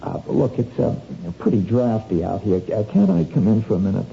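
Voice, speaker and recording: Wheedling small-time crook, real dark muffled voice, swoops up and down, old noisy radio play. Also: male voice, fairly low pitch, big pitch swings, brisk, vocal fry. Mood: awkward, probing. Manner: hesitant, insinuating, folksy slangy talk with ain't. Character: sly, wheedling small-time crook pressing a blackmail scheme. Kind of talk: radio drama acting. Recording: dull, noisy lo-fi radio recording.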